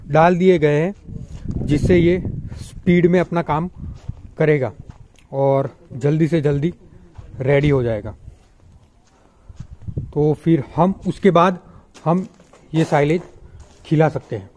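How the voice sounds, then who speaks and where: High-voiced young man vlogging outdoors. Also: a little mellow, a little hiss or hum. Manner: chatty, explains with many pauses. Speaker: dairy farmer and vlogger. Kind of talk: casual farm vlog. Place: outdoors at an open cattle shed on a farm. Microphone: phone at arm's length.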